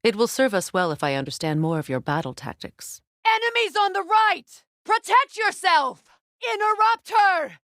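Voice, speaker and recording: A woman's voice: extremely high pitch, big pitch swings, fairly bright tone, no echo, full character voice. A proud witch goddess from a video game, recorded close and dry. She speaks formally and earnestly, always guarded, like someone used to command. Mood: urgent battle cries. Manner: measured, formal, earnest delivery. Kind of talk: video game character dialogue.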